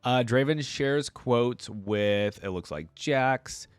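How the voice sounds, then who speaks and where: A man's voice: medium pitch, slow pace, clear, resonant tone, no echo. Casual man rambling in a dry recording. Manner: rambling, thinking aloud, conversational. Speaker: video creator reacting to game lore. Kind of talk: casual reaction commentary to viewers.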